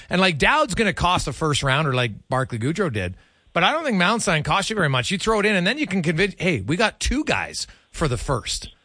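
A man's voice: sits high, bright, forceful tone, dry recording, studio quality. A man giving opinionated, conversational hockey analysis as a guest, speaking off the cuff with emphasis. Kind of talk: unscripted hockey talk.

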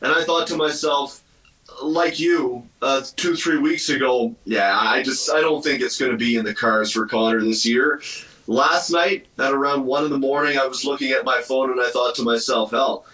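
A man with a sharp, forceful voice of middling pitch comments on sport in an informal, conversational way. He sounds a little away from the microphone, with clear room echo. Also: moderate pace. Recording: roomy, distant from the microphone.

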